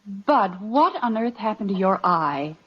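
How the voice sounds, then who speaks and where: An actress playing a patient wife and mother in an old-time radio comedy, gently reproving. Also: medium pitch, sing-song, measured pace, breathy edge. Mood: chiding. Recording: dull old radio recording.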